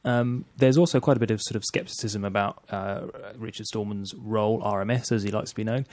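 Man, podcast co-host, low voice, easygoing, dry recording. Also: fairly even intonation, fairly quick, darkish tone, no echo. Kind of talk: casual podcast chat.

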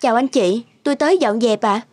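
A woman's voice: fairly high pitch, brisk, slightly rough, no room sound. A woman playing a humble, kind young housekeeper in a cartoon, speaking politely and deferentially. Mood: polite, subdued. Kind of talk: Vietnamese cartoon voice acting. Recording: music behind the voice.

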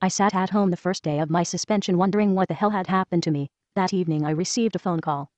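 A woman reading a first-person letter aloud in a brisk, even manner. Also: fairly low pitch, no room sound. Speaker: reader of a whistleblower letter. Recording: dull lo-fi voice track.

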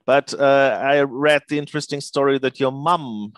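A man interviewing a singer over a video call on a headset, talking haltingly with many fillers. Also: mid-range voice, no room sound. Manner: halting, many fillers, non-native phrasing.

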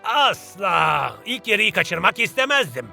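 A vain cartoon football star, speaks in a very high, creaky voice with a sharp, bright edge. He is theatrical and boastful, turning every line into drama. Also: big pitch swings, a little slow, faint music behind, full character voice. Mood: self-assured. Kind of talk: exaggerated cartoon dubbing.

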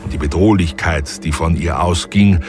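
A man narrating a science fiction audio drama in a measured, grave way. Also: sits low, music in the background. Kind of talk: scripted narration.

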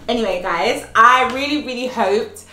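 A woman's voice: fairly high pitch, normal speed, pushed and bright. A woman in her thirties with a slight cold, giving earnest motivational life lessons in a home vlog and repeating phrases for emphasis. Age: 30s. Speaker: vlogger. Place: at home, child playing in next room. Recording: echoey room with faint music.

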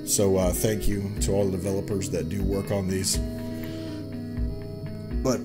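A man's voice: deep voice, level tone, unhurried. A young man giving casual, informal let's play commentary and reading game dialogue aloud. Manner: informal, reacts with exclamations. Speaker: gaming YouTuber. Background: game music.

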